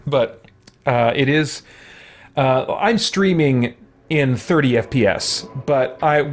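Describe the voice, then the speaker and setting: A man's voice: medium pitch, a little hiss or hum. A man streaming a video game, thinking aloud in casual commentary and chatting with viewers using mild exclamations.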